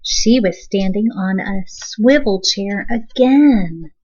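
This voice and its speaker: Husky woman coaching a young reader. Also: medium pitch, animated, slow pace. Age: adult. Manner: gently correcting and prompting. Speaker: reading helper guiding a child. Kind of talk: casual read-along prompting. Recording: dull lo-fi sound.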